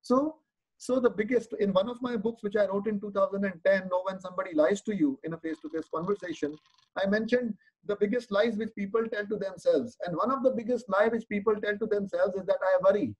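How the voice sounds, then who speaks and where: High-voiced man explaining patiently. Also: fairly even intonation, fairly quick, darkish tone, dull audio. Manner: earnest, explanatory, repeats words when searching. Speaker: Reiki teacher answering questions. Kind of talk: live online Q&A.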